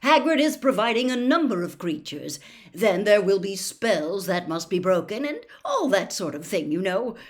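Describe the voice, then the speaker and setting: A woman's voice: medium pitch, sing-song, normal speed, lightly acted. Here is a bouncy, jovial official from a storybook , voiced by a woman reading aloud. He is hearty and chummy, with a raised, swooping delivery. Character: cheerful, bouncy, jovial adult man, eager contest official.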